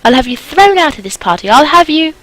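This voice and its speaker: Haughty society lady, played by a woman: affronted, theatrical, high and very animated, for a gothic romance game. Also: brisk, poor audio. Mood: outraged. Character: snobbish, shrill, indignant French society ladies.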